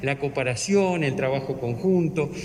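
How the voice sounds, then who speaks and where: Fluent official in an echoey hall. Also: male voice, medium pitch, normal speed, darkish tone. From Uruguay. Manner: fluent, long ornate sentences. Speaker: education official. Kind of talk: formal official address. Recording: echoey hall, faint noise.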